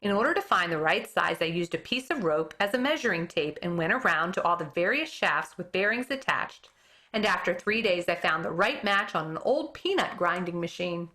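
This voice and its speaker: Lively, bright-voiced woman reading a story aloud. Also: on the low side, normal speed. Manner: expressive storytelling, stumbles on hard names and comments on them. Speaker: elementary school teacher. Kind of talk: reading a children's book aloud.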